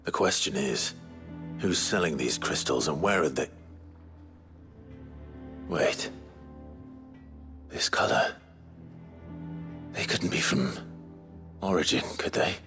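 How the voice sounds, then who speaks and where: Very deep-voiced brooding fantasy hero. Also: male voice, lively intonation, music in the background, full character voice. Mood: pondering, troubled. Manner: measured, serious. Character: grave, earnest, brooding male fantasy hero. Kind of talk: video game dramatic dialogue.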